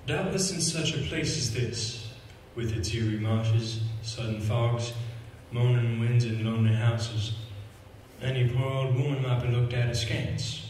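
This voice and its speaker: An actor on stage playing a condescending, articulate city solicitor in a theatrical monologue. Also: male voice, medium pitch, measured pace, darkish tone, close to an everyday voice. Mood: musing, condescending. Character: condescending young city solicitor musing aloud, articulate and superior. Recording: very echoey hall, distant mic, hiss.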